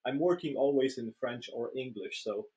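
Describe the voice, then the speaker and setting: A man's voice: mid-range voice, moderate pace, warm tone, dull audio. A man from Poland, explaining conversationally as a guest, hesitant and repeating words as he goes. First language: Polish. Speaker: interview guest, professional trainer.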